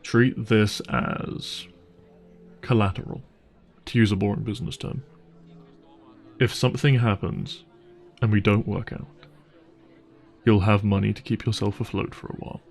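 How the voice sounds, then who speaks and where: A man's voice: on the low side, fairly even intonation, a little slow, gravelly, pauses often, darkish tone. A man playing an apologetic, devoted boyfriend with money in an intimate roleplay audio drama, speaking earnestly and tenderly. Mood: earnest, resolute. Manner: earnest, tender, hesitant phrasing with sighs. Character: apologetic, devoted, secretly wealthy boyfriend on a dinner date. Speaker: voice actor.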